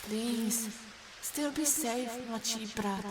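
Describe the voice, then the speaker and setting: A woman's voice: fairly high pitch, slow pace. A woman playing a resolute, protective rescuer in an audio drama, with a Russian accent and formal, commanding phrasing. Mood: anxious, tender. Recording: faint hiss with rain behind. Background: rain.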